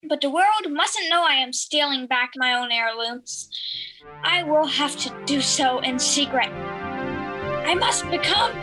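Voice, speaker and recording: Theatrical feline diva, played by a woman: very high, slow, melodramatic, for a children's radio play. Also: bright, forceful tone, faint music behind, heavily acted, rough recording. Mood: scheming, outraged. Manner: melodramatic, declarative. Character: vain theatrical cat diva with a secret identity. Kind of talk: children's audio drama acting.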